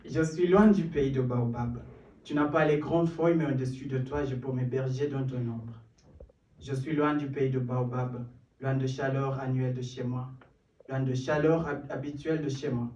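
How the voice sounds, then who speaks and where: Young man, English speaker, open-mic poet; rhythmic, self-deprecating delivery, mid pitch, distant stage mic, roomy live echo. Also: fairly even intonation, normal speed, dark tone, dull audio. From Kenya. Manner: rhythmic, building recitation with self-deprecating asides. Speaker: performance poet. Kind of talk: spoken-word poetry at an open mic. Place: small stage in a cellar venue.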